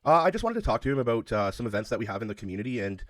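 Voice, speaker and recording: A man making a phone call, speaking in a halting, hesitant way, polite but persistent. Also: mid-range voice, big pitch swings, fairly bright tone. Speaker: caller, self-described media interviewer. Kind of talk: phone conversation.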